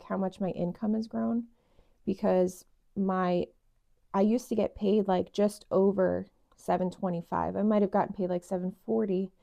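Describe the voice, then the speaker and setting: Raspy-voiced woman, just woke up. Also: medium pitch, dark tone. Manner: chatty, rambling with fillers and laughs. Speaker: budgeting vlogger. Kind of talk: casual chat to camera.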